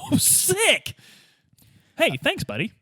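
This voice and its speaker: A man's voice: very high-pitched, wildly swooping pitch, slow, bright, forceful tone, dry recording, clean studio sound. A man playing a tabletop roleplaying game, chatting and improvising casually in a joking, slangy way. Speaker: player in tabletop game podcast.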